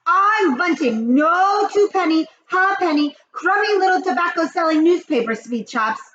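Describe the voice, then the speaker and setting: A woman voicing the Grand High Witch, an imperious, shrieking female witch leader who drags out her commands. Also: animated, reverberant, background noise. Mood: commanding. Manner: slow, drawn-out, bellowing commands. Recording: dull lo-fi recording.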